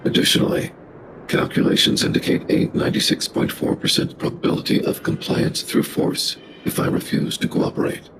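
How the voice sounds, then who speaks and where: A man's voice: a little fast, very noisy, close to an everyday voice. A man playing a huge, logical robot guardian in a video game, formal and clinical, with a synthetic voice. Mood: detached, solemn. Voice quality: synthetic, gravelly.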